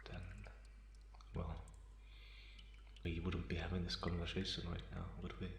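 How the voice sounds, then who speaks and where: A man playing a calm, teasing half-vampire in an ASMR roleplay, pausing often and sounding softly amused and restrained. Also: low-pitched, creaky. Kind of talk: slow ASMR roleplay monologue. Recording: roomy echo, faint background noise.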